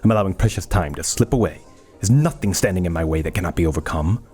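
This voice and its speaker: A man's voice: low voice, quick pace, a little mellow, slightly rough, faint music behind. A man playing an arrogant, obsessive young medical researcher in an audio drama, speaking in a pompous, formal way. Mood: brooding.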